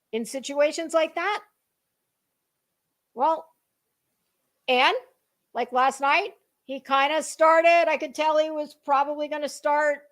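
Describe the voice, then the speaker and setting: A woman with a high-pitched voice delivers a casual, dramatic monologue in the manner of an online commentator. Her phrasing is halting and emphatic, with deliberate pauses and words repeated as she goes. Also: a bit bright.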